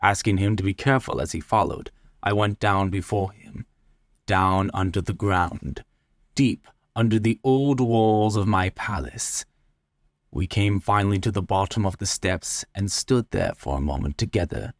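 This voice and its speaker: A man narrating a literary tale in the first person, deliberate, theatrical and brooding. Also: low-pitched, gravelly, no echo, studio quality. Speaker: story narrator.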